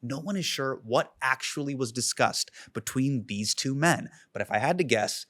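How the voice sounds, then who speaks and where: A man in his forties hosting a show, moving between comedic monologue and interview with a quick, playful, punchline-driven delivery. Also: mid-range voice, animated, fairly bright tone, no echo. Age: 40s. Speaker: host.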